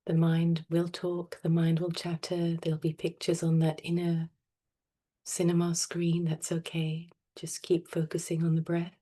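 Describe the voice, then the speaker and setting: Low, mellow woman guiding meditation. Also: monotone. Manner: soft, soothing, unhurried with long pauses. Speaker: meditation teacher. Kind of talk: guided meditation.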